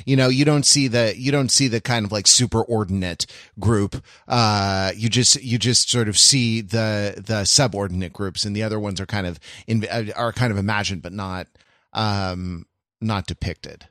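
A man's voice: on the low side, fairly even intonation, moderate pace, a bit bright, dry recording, studio quality. A man chatting casually as a podcast panelist, tentatively rephrasing his point as he goes. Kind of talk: casual chat.

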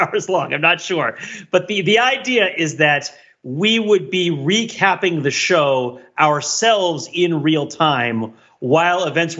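A man with a slightly high, husky voice chats as a podcast guest. He tells stories in a rambling, animated way, with playful grand phrasing. The track sounds a little dull and lo-fi. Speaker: podcast panelist. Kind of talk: casual chat, recollecting.

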